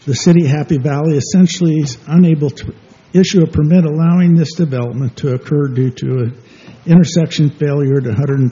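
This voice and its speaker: A middle-aged man gives public comment at a civic meeting, reading steadily from his notes. His voice is very dark and mellow. The audio is dull and muffled, with a faint hiss. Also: medium pitch. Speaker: public commenter for a business group.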